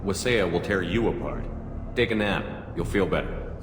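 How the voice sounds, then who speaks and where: Guy as stern soldier commander, low voice, short flat orders, echoey with game music. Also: normal speed, lightly acted. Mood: stern, controlled. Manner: terse, controlled, matter-of-fact. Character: firm, no-nonsense male military commander. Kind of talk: video game voice acting. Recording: echoey reverberant hall, music behind.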